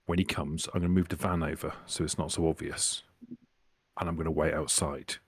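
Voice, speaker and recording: A man playing a tabletop roleplaying game, casually and haltingly asking methodical questions and stating actions. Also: rumbling low, steady tone, slightly rough, no echo.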